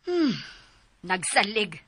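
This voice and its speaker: A woman's voice: mid-range voice, very animated, slow, a bit bright, heavily acted. A woman with a Filipino accent playing an exasperated, worried mother and mistress of the house in a radio melodrama, emphatic and scolding. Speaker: radio drama actor. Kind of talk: melodramatic radio drama acting.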